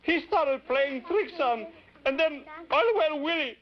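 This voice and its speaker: A man voicing a courtly elderly male gentleman puppet with a put-on Spanish accent, polite and effusive. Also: extremely high pitch, animated, a little slow. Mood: courteous. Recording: dull old broadcast recording.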